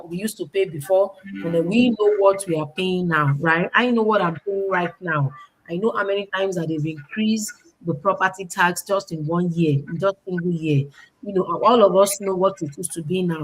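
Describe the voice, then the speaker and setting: A woman hosts an informal online business talk at a middling pitch. She speaks emphatically, often repeating phrases for weight and putting rhetorical questions to listeners. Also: low-quality recording. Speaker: online presenter, business owner and educator.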